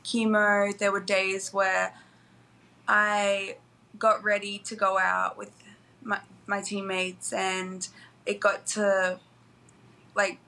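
Australian woman in her twenties, college athlete guest, bright tone, soft and hesitant delivery. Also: mid-range voice, monotone, slow, creaky, long pauses. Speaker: college basketball player, guest. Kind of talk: interview answers.

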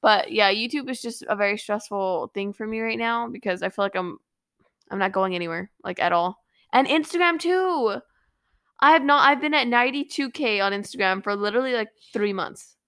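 Teen girl podcast co-host, bright forward voice, chatty, vents and laughs, dry close mic. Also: medium pitch, fairly quick, clear, resonant tone, no room sound. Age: teens. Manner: chatty, venting, laughs often. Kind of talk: casual chat.

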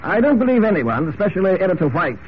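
A smug gentleman speaks in a high, polished voice, precise and condescending. The old radio drama recording is somewhat dull, with faint hiss. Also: very animated, fast. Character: suave, smug, formal gentleman impostor. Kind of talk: old-time radio serial acting.

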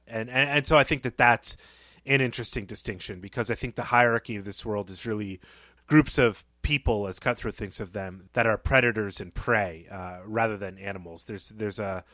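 A man's voice: lowish voice, sharp tone, no echo. Analytical man, dry close podcast sound. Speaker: podcast co-host. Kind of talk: conversational literary discussion.

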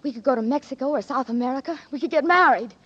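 Anxious, lovestruck young woman for vintage radio melodrama; high, husky, breathless voice on a muffled old broadcast. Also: fast. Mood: loving, excited. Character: devoted, anxious young woman in love, ex-nurse. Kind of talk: 1940s radio drama acting.

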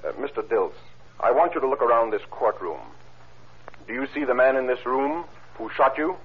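A formal prosecutor in a vintage radio courtroom drama , acted by a man. His medium-pitched voice puts questions with crisp, deliberate method, through muffled old sound. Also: close to an everyday voice. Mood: formal, probing. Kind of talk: 1930s radio acting.

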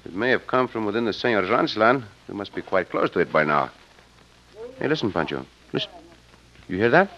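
An actor playing a confident, courteous Mexican cowboy adventurer with an accent and formal speech in an old radio western. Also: male voice, lowish voice, animated, fairly quick, fairly bright tone. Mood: alert. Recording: dull, noisy old radio recording.

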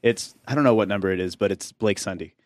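A man's voice: on the low side, fast talker, darkish tone. A young man co-hosting a casual podcast, loose and joking, laughing often. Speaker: podcast co-host. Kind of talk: casual chat.

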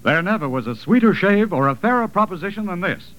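A man's voice: high voice, lively intonation, fast. A man reading a 1940s radio commercial as an announcer, with an emphatic sales delivery. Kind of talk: 1940s sponsor advertisement read. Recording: dull vintage radio broadcast.

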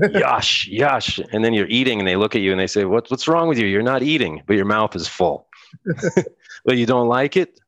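A man's voice: medium pitch, very animated, normal speed, fairly bright tone, no echo. An American man, middle-aged, chatting casually as an interview guest, rambling with frequent restarts and laughs. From United States. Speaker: guest musician.

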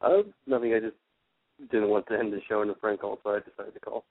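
Quick-talking young man on phone. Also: lowish voice, steady tone, dry recording. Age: 20s. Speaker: caller. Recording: tinny phone line, dry.

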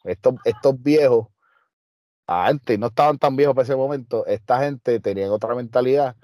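A man's voice: mid-range voice, moderate pace, mellow, dry recording, clean studio sound. A man with a Puerto Rican accent, a wrestler and trainer telling stories in an interview, emphatic and streetwise with lots of fillers. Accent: Puerto Rican Spanish. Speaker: wrestler guest. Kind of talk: casual interview storytelling.

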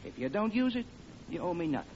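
An actor playing a sly, slangy racetrack tout, wheedling in an old radio comedy. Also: male voice, high voice, sing-song, fast, a little mellow. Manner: conspiratorial, wheedling. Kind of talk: 1940s radio comedy acting. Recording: muffled old radio recording with noise.

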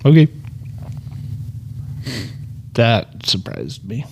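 A man's voice: mid-range voice, wildly swooping pitch, extremely slow, creaky, a little hiss or hum. A man co-hosting a casual podcast chat, joking around and laughing often. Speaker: podcast co-host.

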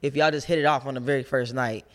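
A woman with a deep voice, co-hosting a podcast in relaxed, slangy casual chat. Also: fast. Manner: laid-back slangy talk, thoughtful asides. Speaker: podcast co-host.

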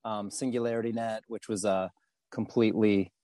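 Halting male podcast guest, dry sound. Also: on the low side, little pitch movement, warm tone, no room sound. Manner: halting, many fillers and restarts. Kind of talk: podcast interview.

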